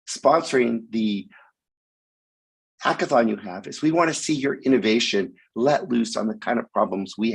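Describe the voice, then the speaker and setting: A man speaking hoarsely with a raspy voice while sick with the flu, giving a halting sponsor talk over a video call with many ums. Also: mid-range voice, poor audio. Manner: halting, frequent ums, occasional cough. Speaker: sponsor speaker at hackathon opening. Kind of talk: online event speech.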